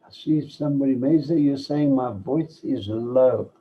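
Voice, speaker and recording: Male presenter, measured online lecture voice, average pitch, muffled low-fi call audio. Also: slight background noise. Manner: measured, pauses with fillers, lists points. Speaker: masterclass presenter. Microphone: computer mic without headset.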